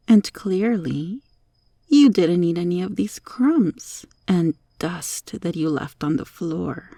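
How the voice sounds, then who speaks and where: Slow, creaky monster roach girl, acted by a woman. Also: lowish voice, dark tone, no echo, clean studio sound, full character voice. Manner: slow, coy, prim formal phrasing. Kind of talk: ASMR roleplay.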